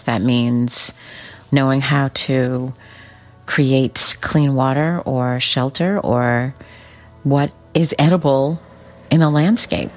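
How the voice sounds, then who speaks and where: A woman answers interview questions in a considered way. Her voice is exceptionally low. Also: darkish tone. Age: 50s. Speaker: poll respondent.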